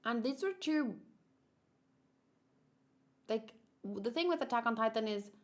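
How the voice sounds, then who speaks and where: A woman with a fairly high voice hosts a casual livestream. She rambles and thinks aloud, circling back over her words as she talks to viewers. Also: animated, measured pace. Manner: rambling, thinking aloud, often repeating herself. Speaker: streamer hosting a manga read-along.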